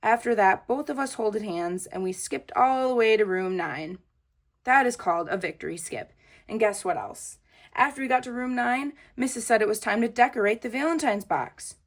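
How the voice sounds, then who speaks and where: A woman reads a children's story aloud in a mid-range voice. She is expressive and uses simple, childlike phrasing, as a storyteller does for young listeners. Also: medium pitch. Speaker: children's book reader. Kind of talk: read-aloud storytelling of a children's book, in a child narrator's words.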